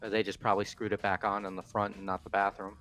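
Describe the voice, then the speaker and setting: Low, even-toned man chatting. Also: fast talker, faint music behind. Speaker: player in a group playthrough. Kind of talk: casual group discussion.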